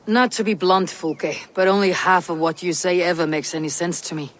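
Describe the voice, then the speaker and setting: A woman's voice: on the low side, moderate pace, lightly acted. An actress playing a proud, stubborn Viking warrior woman in a video game cutscene, speaking firmly and bluntly. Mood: skeptical.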